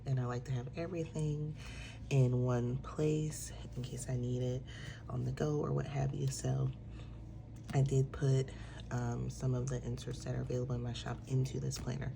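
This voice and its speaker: A woman walking through the pages of her own planner in a relaxed, casual way. She sounds a little nasal from congestion and fills her explanations with frequent ums. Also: rumbling low, vocal fry, noisy background. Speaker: planner shop owner. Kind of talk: casual product walkthrough.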